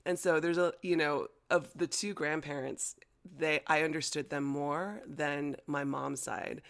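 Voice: mid-range voice, fairly even intonation, unhurried, creaky, fairly bright tone. An American-born speaker whose first language was Chinese, chatting casually with many fillers and easy laughter. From United States. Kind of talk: casual conversation.

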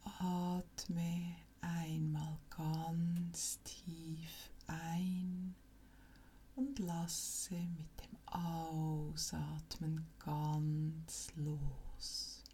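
A woman leading a guided yoga nidra meditation as instructor, speaking softly, almost in a whisper, in a calm and soothing manner with long pauses between short phrases. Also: low voice, slight background noise. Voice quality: soft, breathy, near-whisper.

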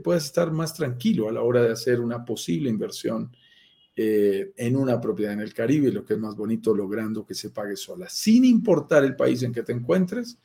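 A Colombian man hosting a live stream, pitching property investment in an upbeat sales manner with frequent fillers. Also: creaky, dark tone. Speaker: host, sales director of a property firm.

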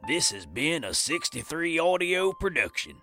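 A man's voice: fairly high pitch, sing-song, moderate pace, sharp tone. A man reading scripted closing credits as an announcer. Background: music.

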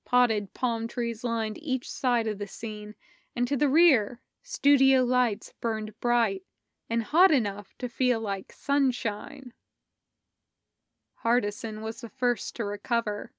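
A woman reading scripted fiction as a narrator, measured and expressive in her storytelling. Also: a little high, clean tone, no room sound, studio quality. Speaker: podfic narrator.

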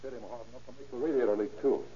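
An actor playing a businesslike police detective in a 1930s radio drama, clipped and authoritative. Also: male voice, medium pitch, fairly even intonation, rapid-fire, mellow, close to an everyday voice. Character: brisk, businesslike male police lieutenant detective. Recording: muffled, hissy old radio recording.

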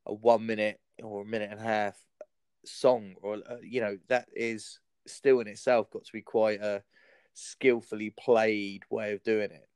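A man's voice: lowish voice, flat delivery, unhurried, a little mellow, smooth, no room sound, clean studio sound. A man hosting a podcast, chatting in an easygoing way, telling anecdotes and laughing along. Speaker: podcast host. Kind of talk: casual chat.

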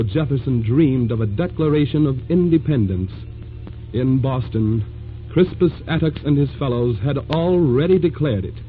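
An actor playing a solemn, poetic narrator of a historical 1940s radio drama, with measured delivery. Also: male voice, medium pitch, lightly acted. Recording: tinny old radio with music.

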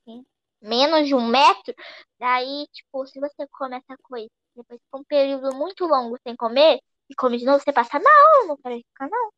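A woman's voice: high-pitched, expressive, brisk, no echo. A young woman in casual podcast chat, talking in a chatty, rambling way. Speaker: podcast guest. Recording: dry, dull lo-fi recording.

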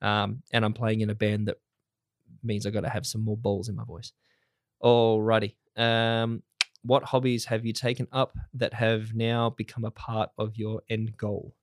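Soft-spoken man hosting a podcast. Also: lowish voice, moderate pace, no room sound. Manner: soft-voiced, relaxed and swearing casually. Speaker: podcast host. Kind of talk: casual podcast chat. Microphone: studio mic in a home-built voiceover booth.

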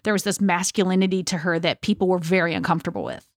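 A woman's voice: lowish voice, fast, no echo. A middle-aged woman talks as a podcast host in a dry, unechoed recording. Her delivery is conversational and informal, explaining points as she goes.

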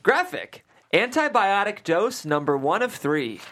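A woman's voice: medium pitch, big pitch swings, measured pace, a bit bright. A woman reading comedy screenplay stage directions as scripted narration, calling out captions like an announcer. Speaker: stage directions reader at a table read.

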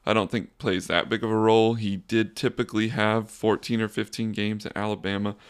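A young man hosting a casual solo sports podcast, talking in a flat, monotone, rambling way. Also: on the low side, a little fast, clean tone. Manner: monotone, says so himself; relaxed, rambling with fillers and restarts. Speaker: podcast host.

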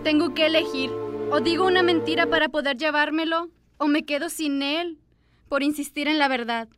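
A woman's voice: high voice, moderate pace, natural voice. A young woman playing an earnest student protester in a radio drama. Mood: anguished, resigned. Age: young adult. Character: anguished young female student protester searching for her brother. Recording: radio drama with music underneath.

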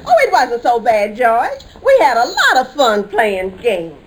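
A high-voiced, trusting wife in an old radio sitcom. Her chirpy, emphatic lines rise and fall in a lively sing-song. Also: a little slow, slightly rough, heavily acted. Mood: cheerful, insistent. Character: trusting, chirpy wife eager for lodge picnic. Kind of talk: 1950s radio sitcom acting.

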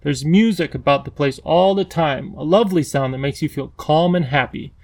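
A man's voice: fairly high pitch, moderate pace, a little mellow, lightly acted. A man voicing a brave, earnest young girl who urges and coaxes in a storybook reading. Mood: soothing, eager. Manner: pleading, eager.

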